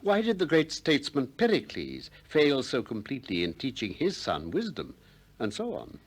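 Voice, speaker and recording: Probing ancient philosopher, courteous irony, deliberate delivery, animated mid-range voice, 1960s radio drama. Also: male voice, mid-range voice. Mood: measured narration. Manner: deliberate, politely ironic, questioning.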